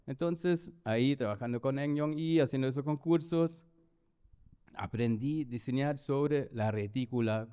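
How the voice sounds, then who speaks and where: A German-accented man in his fifties gives a lecture in a hall, pausing and restarting often. His voice is mellow and smooth. Long reverb hangs behind him. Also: mid-range voice. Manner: hesitant, many fillers and restarts. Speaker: architect giving a lecture. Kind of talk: lecture presentation.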